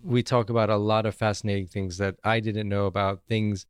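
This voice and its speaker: Male podcast host, easygoing and chatty, lower voice, dry booth sound. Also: fairly quick, smooth, no echo. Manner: relaxed, friendly, hesitant with fillers. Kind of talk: casual podcast interview.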